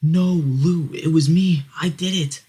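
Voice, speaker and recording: A man's voice: fairly high pitch, steady tone, slow, mellow, breathy edge, pitched above the performer's own voice. A man voicing a desperate, tearful teenage boy pleading to protect his brother in a dramatic horror story.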